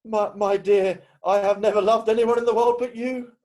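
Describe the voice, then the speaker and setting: A man playing a nervous, ardent young gentleman suitor in an amateur play read-through, hesitant and stammering, over a video call. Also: high voice, steady tone, moderate pace, close to an everyday voice. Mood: ardent, flustered.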